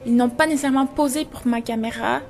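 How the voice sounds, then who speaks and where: Reflective young woman, fairly high voice. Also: fast talker, darkish tone. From Venezuela. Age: 20s. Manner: reflective, searching for words. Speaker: photographer and journalism student. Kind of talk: interview about her photo exhibition.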